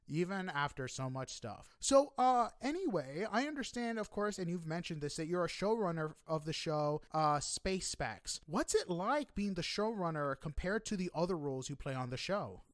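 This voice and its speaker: A high-voiced man hosts an interview podcast. He talks casually and effusively, with plenty of exclamation. The sound is dry, with very little room on it. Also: big pitch swings, moderate pace, few pauses, a bit bright, dry recording.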